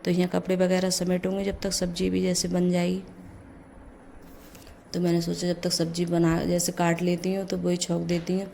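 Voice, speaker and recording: A middle-aged woman with a low, gravelly voice made hoarse by a cold talks through her morning chores in a casual home vlog. Her pitch stays almost flat as she chats along. A faint hum of the house sits behind her. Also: moderate pace. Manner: chatty, leans on the filler "jaise". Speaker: vlogger, mother. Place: home kitchen.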